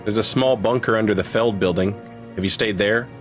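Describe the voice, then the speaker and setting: An actor playing a composed, probing police lieutenant in a video game, asking questions in a measured, matter-of-fact way. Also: male voice, on the low side, natural voice. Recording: faint music under the voice.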